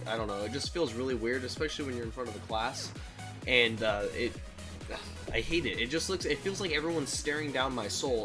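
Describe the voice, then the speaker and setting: A man in his late teens telling personal stories in a casual, rambling, candid and self-deprecating way, swearing freely like a YouTuber on a solo talk show. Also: mid-range voice. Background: background music.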